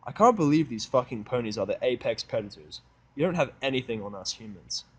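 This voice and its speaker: A man plays a sullen human worker in a fantasy audio drama. His voice is mid-pitched, with a curt, irritable, sarcastic delivery. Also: lively intonation, fairly quick, breathy edge. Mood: resentful. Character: surly, sarcastic human man working among ponies. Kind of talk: fan fiction audio drama acting.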